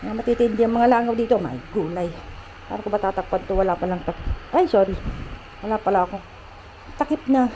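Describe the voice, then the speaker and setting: A chatty woman from the Philippines films a casual farm vlog from behind the camera, laughing often and calling out easy directions to kids. Her voice sits in a middle range. The outdoor camera audio carries a clear layer of steady background noise. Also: mid-range voice, quick pace, rough recording. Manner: chatty and playful, laughs often, gives children gentle directions. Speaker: vlogger and farm owner. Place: open-air farm kitchen and hut in the countryside.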